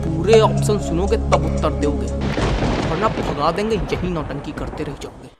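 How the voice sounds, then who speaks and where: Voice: mid-range voice, lively intonation, moderate pace, over loud music, lightly acted. A performer voicing an exasperated, bossy quiz show host in a comedy cartoon, with sarcastic, theatrical scolding. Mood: irritated scolding. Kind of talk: comic parody of quiz show hosting.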